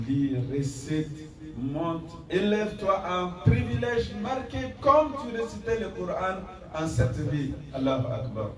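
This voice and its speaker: A man, an imam, preaching a Friday sermon with rhetorical questions, mixing in recited Arabic verses. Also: medium pitch. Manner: exhorting, rhetorical questions, rising emphasis. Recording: echoey hall from far off.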